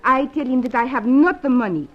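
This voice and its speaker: A dull, lo-fi radio drama recording of a coquettish young dancer. Her voice is high and swings freely up and down as she teases in broken English, coy and devoted. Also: animated, fairly quick. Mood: confiding. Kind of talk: old-time radio serial acting.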